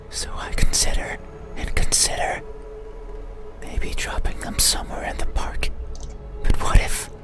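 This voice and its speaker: A man playing an eerie midnight monster in a horror game, speaking poetically in a breathy whisper. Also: close to an everyday voice. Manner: slow, deliberate, poetic. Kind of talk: horror visual novel monologue.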